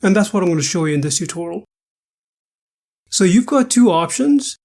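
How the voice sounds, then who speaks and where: A man with a fairly high voice narrates a software tutorial. He works through the material step by step, in a methodical, instructional way that follows a script. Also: animated, normal speed, gravelly.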